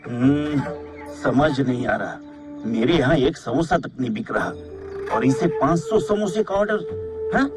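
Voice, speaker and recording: A man's voice: mid-range voice, big pitch swings, a little slow, over music. A man playing a jealous, bossy shopkeeper villain in a cartoon story, with a sly, imperious way of talking. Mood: scheming, envious. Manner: sly, imperious, muttering to himself. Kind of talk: Hindi cartoon story acting.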